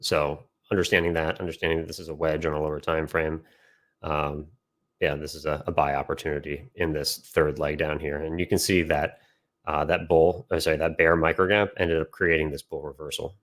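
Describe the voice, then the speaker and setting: A man giving informal instructional commentary as a trading educator, pausing mid-phrase to think as he explains and answers questions. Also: extremely low pitch, flat delivery, fairly bright tone. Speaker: trading educator and system developer.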